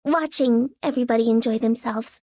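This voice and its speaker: A woman voicing a shy, gentle, wistful young girl in a game, with a hesitant manner. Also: a little high, brisk, slight background noise, lightly acted. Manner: hesitant, stammering. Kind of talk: scripted game character voice acting.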